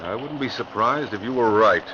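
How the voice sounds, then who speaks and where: A flustered police commissioner. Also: male voice, fairly low pitch, lively intonation, normal speed, bright, forceful tone. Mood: earnest. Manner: earnest, dismayed. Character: police commissioner, middle-aged man, earnest and flustered. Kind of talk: 1940s radio acting. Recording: muffled old radio broadcast with faint hiss.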